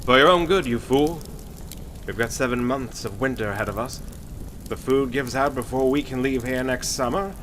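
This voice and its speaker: Man playing a sharp-voiced ruthless crime boss. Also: mid-range voice, moderate pace, slight background noise, lightly acted. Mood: cold, gloating. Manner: domineering, sneering, melodramatic. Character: Burkett, ruthless, scornful male criminal boss. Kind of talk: Golden Age radio drama acting.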